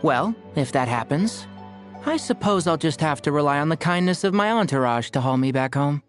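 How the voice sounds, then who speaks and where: Man playing a composed young doctor, lively intonation. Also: a little high, natural voice. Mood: wry. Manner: polite, measured, wry. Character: gentle, composed young male doctor with dry wit. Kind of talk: video game voice acting. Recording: music playing behind the voice.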